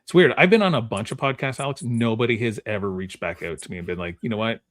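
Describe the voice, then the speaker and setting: A man's voice: fairly low pitch, fast. A man hosting a podcast in a casual, conversational style. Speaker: podcast host.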